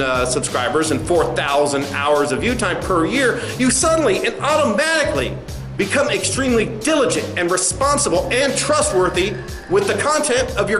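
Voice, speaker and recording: A man playing a smug, condescending corporate spokesman in a satirical comedy sketch, patronizing and given to halting asides. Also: high voice, a bit bright. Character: smug, condescending male corporate spokesman addressing angry protesters. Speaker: company spokesman. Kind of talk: satirical sketch, mock press conference. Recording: roomy echo, faint music behind.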